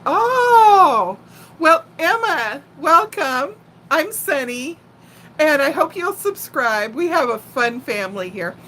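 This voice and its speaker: A woman in her sixties chatting casually as a live stream host, telling personal stories in a playful, animated way. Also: high voice, slow pace, a little hiss or hum. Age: 60s. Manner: animated, playful storyteller who laughs easily and often. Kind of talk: casual chat and personal storytelling.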